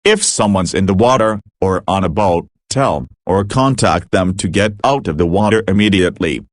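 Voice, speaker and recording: A man's voice: low voice, expressive, normal speed, no echo, clean studio sound. A man playing a frazzled emergency broadcast announcer in an amateur doomsday drama, mixing formal bulletins with slangy exclamations. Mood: grave. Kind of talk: amateur scripted emergency broadcast narration with informal outbursts.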